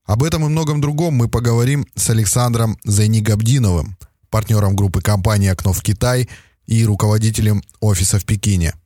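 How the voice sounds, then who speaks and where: A man's voice: fairly low pitch, fairly even intonation, fairly quick, dark tone, dry recording, clean studio sound. A man hosting a business podcast, interviewing a guest and hesitating often with filler sounds. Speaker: podcast host.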